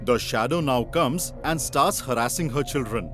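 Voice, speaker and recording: A man's voice: medium pitch, normal speed, over music. A man narrating a children's story as a scripted read.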